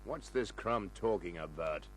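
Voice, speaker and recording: An actor playing a big, surly hired gunman, quick to threaten, in an old-time radio crime drama. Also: low-pitched, expressive. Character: big, menacing, thick-headed male hired gunman. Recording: humming, noisy old radio recording.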